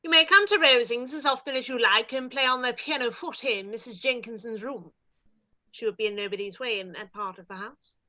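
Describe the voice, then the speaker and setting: A woman's voice: a little high, fairly quick, sharp tone. A woman playing a haughty, boastful aristocratic older lady in a radio play, imperious and condescending, on a muffled recording. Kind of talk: radio play live read.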